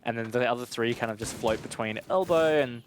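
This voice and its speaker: A man giving analytical esports commentary in a conversational, measured way. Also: mid-range voice, a little hiss or hum.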